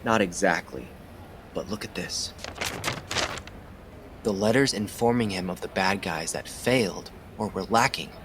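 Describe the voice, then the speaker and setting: A man's voice: low voice, a little slow, long pauses, background noise. A man acting as an earnest, methodical police detective in an audio drama. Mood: intent, reasoning.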